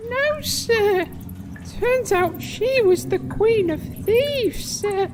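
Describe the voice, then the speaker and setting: A loyal, simple-minded little squire for a comedy sketch. The voice is extremely high and slow, halting and meekly deferential, recorded with clear noise behind it. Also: male voice, expressive, heavily acted. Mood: naive, deferential. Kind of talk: comedy sketch character acting.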